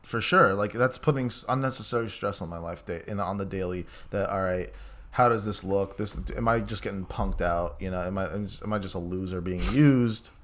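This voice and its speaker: A man's voice: deep voice, a little fast, a bit bright. A man co-hosting a casual podcast chat, often hesitant and restarting as he thinks aloud. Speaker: podcast co-host.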